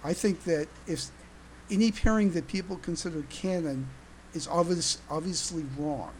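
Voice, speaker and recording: A man hosting a casual fan podcast, speaking haltingly and often repeating his words. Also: a little high, a little mellow, a little hiss or hum, rough recording. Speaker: podcast host.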